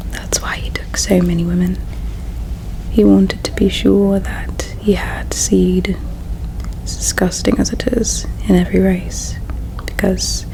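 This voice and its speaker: A woman whispers very slowly in an ASMR roleplay, playing a solemn, resigned alien princess. Her voice is breathy and soft, with creak at the edges. She tells her story in a hushed, halting way. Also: medium pitch, rough recording. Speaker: ASMR performer.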